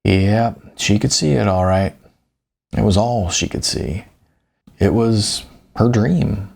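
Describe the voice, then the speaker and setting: A man's voice: lowish voice, slow pace, vocal fry, a little mellow, exaggerated voice. A man voicing a folksy, wise older small-town businessman in audiobook dialogue, stubborn but tolerant, repeating himself hesitantly. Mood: wistful. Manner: folksy, hesitant repetitions, ain't.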